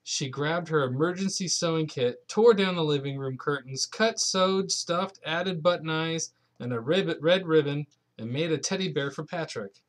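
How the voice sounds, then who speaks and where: A young adult man with a slightly high voice reads a picture book aloud. He speaks as a parent to his child, slipping casual asides into the bedtime story. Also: normal speed, few pauses.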